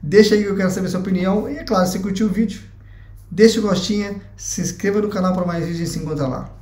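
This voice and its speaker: A man's voice: sits high, fairly even intonation, quick pace, a little mellow. A young Brazilian man with a Brazilian Portuguese accent, giving his opinion in a blunt, chatty vlog and swearing freely. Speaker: vlogger. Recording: echoey room.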